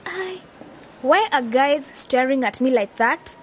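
A woman's voice: high voice, lively intonation, slow, slight background noise. A woman acting an assertive, articulate young woman in a radio drama. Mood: exasperated. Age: young adult. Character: sharp young woman, planning a community radio station.

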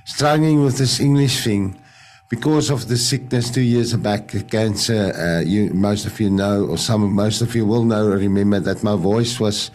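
A man's voice: fairly low pitch, steady tone, a little mellow. A man with an Afrikaans accent whose first language is Afrikaans, giving a faith testimony on a video call and often stopping to search for English words. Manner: halting, searching for English words, many restarts. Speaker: radio presenter sharing testimony.

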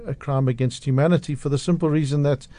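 A man from South Africa gives his views as a radio studio guest. His voice is mellow and the sound is dry and close. He speaks with conviction and often restarts sentences. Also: on the high side, steady tone, fast talker, dry recording. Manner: passionate, outspoken, with frequent restarts. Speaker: radio guest, media analyst. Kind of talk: radio interview. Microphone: studio microphone.

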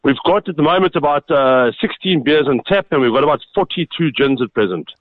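A man's voice: on the high side, lively intonation, fairly quick, no room sound. South African man on a muffled phone line. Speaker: restaurant owner, phone-in guest. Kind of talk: casual interview explanation. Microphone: telephone.

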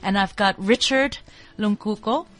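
A woman hosting a radio talk show, with a voice of middle pitch for a woman. She talks loudly and chattily and laughs easily with her guests in the studio. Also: a little slow. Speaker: radio host.

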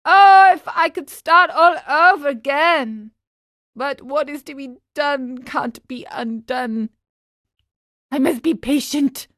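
A woman's voice: very high voice, sing-song, slow pace, dry recording, studio quality, voice pushed up, full character voice. A woman voicing a frightened, tearful young wooden puppet boy from a children's storybook, wailing and pleading. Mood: miserable regret.

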